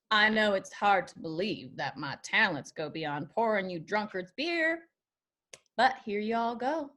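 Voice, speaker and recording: A woman's voice: mid-range voice, expressive, slow, a bit bright. A woman playing a brash but guarded young country singer who tends bar, in a screenplay table read with folksy phrasing. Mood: brash, playful.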